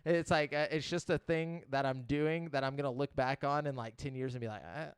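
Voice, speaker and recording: A man's voice: mid-range voice, steady tone, brisk, smooth, dry recording. A man hosting a casual gaming podcast, talking in a blunt, skeptical, sweary way. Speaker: podcast host.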